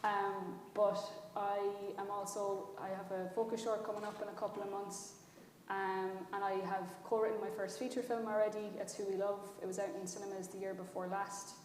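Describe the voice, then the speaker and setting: A woman with a Kerry accent speaks on a panel in a candid, self-deprecating way. Her voice is of middling pitch, heard from some distance in a reverberant hall with faint noise. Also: flat delivery, fairly quick, darkish tone. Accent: Kerry Irish. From County Kerry, Ireland. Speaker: panellist, film writer-director. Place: indoor conference hall. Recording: echoey hall, distant mic, background noise.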